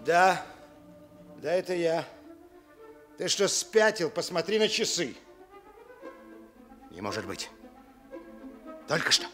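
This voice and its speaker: Curt, annoyed man on the phone for radio drama, raised pitch over orchestral music. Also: sing-song, slow pace, long pauses. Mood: irritated, startled. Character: irritated male character on a late-night phone call. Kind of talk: Soviet radio drama acting.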